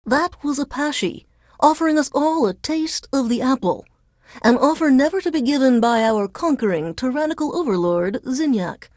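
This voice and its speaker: Grudging news reporter stuck djing on game radio, lively swooping high voice, dramatic and dry humored, formal newscaster style. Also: female voice, moderate pace, no echo. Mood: theatrical, announcing. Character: female radio news reporter forced to DJ, dryly resentful. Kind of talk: scripted radio DJ links in newsreader delivery.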